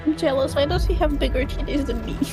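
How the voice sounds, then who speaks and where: A woman's voice: high-pitched, fast talker. A woman co-hosting a casual livestream, bantering in a playful, blunt, innocent-sounding way. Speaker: guest co-host reacting to game trailers. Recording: music behind the voice. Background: music.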